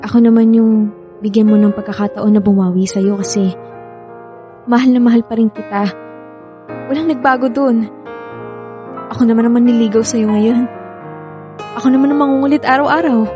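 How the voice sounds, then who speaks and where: A woman's voice: on the high side, steady tone, moderate pace, long pauses, over music, close to an everyday voice. An actress playing a remorseful young woman in tears with a Filipino accent in a radio drama. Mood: pleading, devoted. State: crying. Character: tearful, remorseful young woman begging an ex back.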